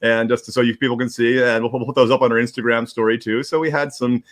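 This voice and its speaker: A man's voice: medium pitch, flat delivery, fast talker. A man hosting a livestream in casual, rambling talk, often repeating words as he goes. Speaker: host of a livestream. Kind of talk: casual chat.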